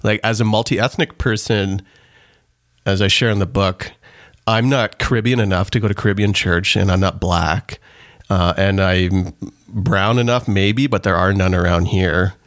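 Low-voiced man in dry sound. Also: fairly even intonation, normal speed, fairly bright tone, dry recording. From Trinidad. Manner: earnest, reflective, speaks in long explanations. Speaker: author and pastor, guest. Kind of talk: interview conversation.

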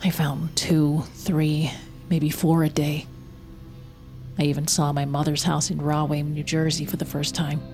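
Slow, low-voiced haunted woman narrator. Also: level tone. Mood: numb, bitter. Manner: measured, slow, introspective. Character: haunted, defeated woman recounting her lonely search. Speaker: narrator and lead character. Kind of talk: scripted first-person narration, audio drama. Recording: prominent music under studio narration. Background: loud music.

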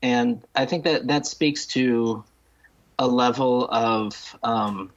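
A man speaking as an author guest in an interview, halting and repeating words as he thinks, on slightly dull audio. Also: medium pitch. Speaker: guest, novelist and critic. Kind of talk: thoughtful interview discussion.

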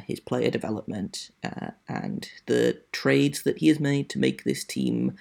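A man with a strongly creaky, gravelly voice talks casually as the host of a solo sports podcast. His accent is not American, and his delivery is chatty with dry, wry asides. He speaks at a middle pitch. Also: darkish tone. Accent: non-American.

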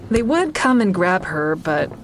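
A woman's voice: lowish voice, big pitch swings, a little slow, background noise. An actress playing a wry stand-up comedian who narrates her own story conversationally in an audio drama. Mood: wry, reflective.

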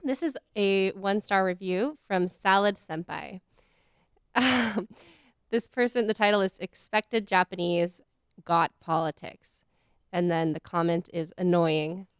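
A woman's voice: mid-range voice, measured pace, clear, resonant tone, no echo, clean studio sound. An American woman co-hosting a podcast, explaining things in a friendly, casual way with easy laughs. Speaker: podcast co-host.

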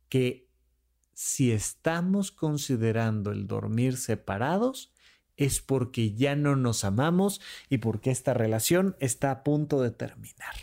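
A man hosting a podcast, talking casually about relationships and acting out bickering couples in quick quoted voices. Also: creaky. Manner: animated, swings between high and low pitch. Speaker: podcast host.

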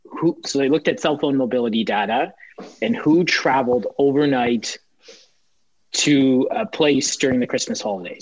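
Brief: husky male panelist, builds his case step by step, dry lo-fi call audio. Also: mid-range voice, no echo. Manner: explanatory, builds to a point with examples. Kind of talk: panel discussion.

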